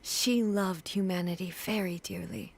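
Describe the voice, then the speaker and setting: A woman voicing a solemn, duty-bound ruler goddess in a video game, speaking formally and with measured gravity. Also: on the low side. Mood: wistful, earnest. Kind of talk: dramatic video game voice acting. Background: faint music.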